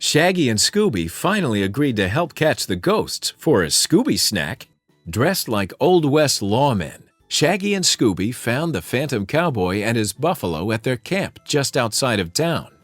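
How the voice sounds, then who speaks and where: A man's voice: medium pitch, very animated, a little slow, a bit bright, no echo, soft background music. A man narrating a children's storybook in a dramatic read-aloud style.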